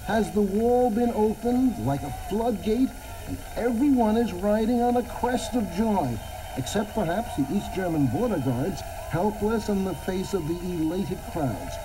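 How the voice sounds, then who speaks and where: High-voiced man narrating over noise. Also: lively intonation, unhurried. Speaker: documentary narrator or reporter. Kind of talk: dramatic scripted narration. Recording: noisy archival documentary soundtrack.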